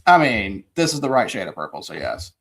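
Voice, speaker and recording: A low-key man walks the hosts through team logos as the show's producer. He has a lowish voice with a lively, swinging pitch. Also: fairly quick, vocal fry. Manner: low-key, guiding the segment. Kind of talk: walking through logos on a show.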